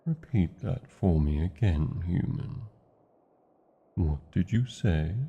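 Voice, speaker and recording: A British man playing an old, tender male dragon in a fantasy ASMR roleplay, speaking slowly and formally in a husky, gravelly voice. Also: animated, muffled recording, exaggerated voice. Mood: curious, hopeful. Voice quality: husky, gravelly, dark low voice. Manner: slow, deliberate, formal phrasing.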